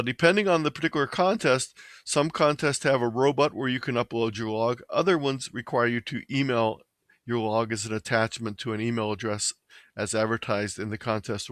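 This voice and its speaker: An American man presents a tutorial in a plain, step-by-step manner. His voice sits at an average pitch for a man. The recording is dry, with very little room sound. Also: normal speed, fairly bright tone, dry recording. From Ohio, United States. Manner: plain, methodical explaining. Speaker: presenter of an amateur radio tutorial. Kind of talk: instructional slideshow presentation.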